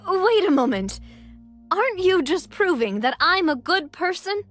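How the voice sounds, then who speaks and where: High young lady boss from a game, polite but puzzled, takes her time, faint music behind. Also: very animated, unhurried, a bit bright, heavily acted. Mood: bewildered. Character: earnest young lady leader, polite, confused and increasingly exasperated. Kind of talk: English video game voice acting.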